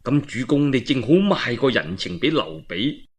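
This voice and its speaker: A man with a Cantonese accent voicing a shrewd, composed male military adviser in a measured, persuasive manner. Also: medium pitch, big pitch swings, voice pushed down, lightly acted. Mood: calm, persuasive.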